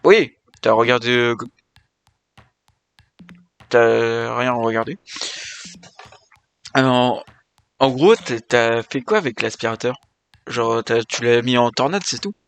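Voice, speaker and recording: A man's voice: mid-range voice, slow pace, long pauses, smooth, no room sound. A young man giving casual let's-play commentary, rambling and correcting himself as he chats to viewers. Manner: rambling, self-correcting, chatty. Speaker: gaming video creator. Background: game music.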